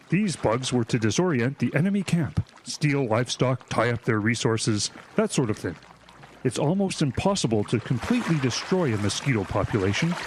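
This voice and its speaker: Casting: actor as pompous, evasive secret agent, very animated pitch, radio play, noisy water backdrop. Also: male voice, close to an everyday voice. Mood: self-important. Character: bumbling, secretive, overconfident male government agent. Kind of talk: audio drama acting.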